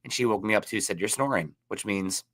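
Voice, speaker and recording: Stuffed up guy with a sore throat, kinda low voice, rambly podcast chat, really dry sound. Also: a little fast, fairly bright tone, no echo. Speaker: podcast co-host. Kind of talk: casual chat.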